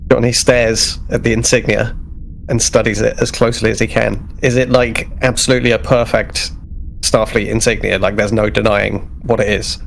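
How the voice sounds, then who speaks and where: A man playing a tabletop roleplaying game, narrating and speaking in character in a hesitant, creaky voice. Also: lowish voice, little pitch movement, slight background noise. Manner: hesitant, halting.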